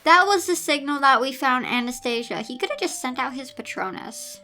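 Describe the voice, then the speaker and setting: High, bright-voiced woman doing a theatrical read-aloud. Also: lively intonation, moderate pace, faint music behind. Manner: theatrical, incredulous, sarcastic asides. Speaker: host reading fan fiction aloud with commentary. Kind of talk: dramatic read-aloud with exasperated commentary.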